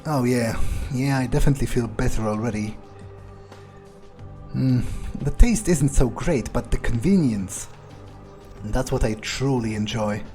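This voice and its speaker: Mid-pitched male gamer, mellow, casual, hesitant, in-character musing, faint music behind. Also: measured pace. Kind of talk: in-character game playthrough narration, thinking aloud.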